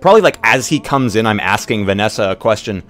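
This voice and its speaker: A man playing in a casual tabletop roleplay, speaking in a hesitant, halting way as he improvises. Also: fairly low pitch, lively intonation, fairly bright tone. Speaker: roleplay player.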